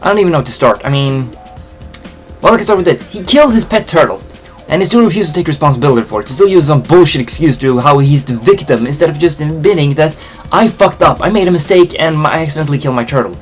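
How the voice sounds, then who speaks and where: A man's voice: on the high side, expressive, soft background music. Blunt Swedish-accented man. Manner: blunt, lists points quickly. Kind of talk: ranting to camera.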